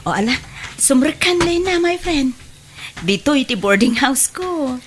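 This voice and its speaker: A woman's voice: high voice, expressive, measured pace, noisy background. A woman with an Ilocano Filipino accent playing a breezy, worldly young beer-house waitress and friend in an audio drama. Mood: breezy, welcoming.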